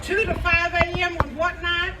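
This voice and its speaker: A woman's voice: very high-pitched, little pitch movement, normal speed. A woman hosting a live event, introducing readers in an informal, conversational and somewhat hesitant way. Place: live event room with audience. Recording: roomy, distant mic, noisy live crowd.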